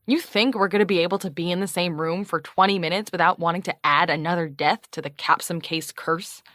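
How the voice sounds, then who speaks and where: An actress playing a skeptical, guarded podcast journalist in an audio drama, speaking in a sardonic, exasperated, naturalistic way. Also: female voice, on the low side, steady tone, a little fast, fairly bright tone, no echo, studio quality, close to an everyday voice.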